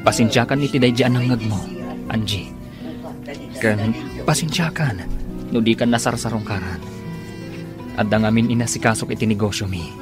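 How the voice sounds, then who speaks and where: An actor in a radio drama playing a gentle boss in love, who speaks apologetically. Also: male voice, lowish voice, moderate pace, long pauses, over music, lightly acted. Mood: tender. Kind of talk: Ilocano radio drama acting.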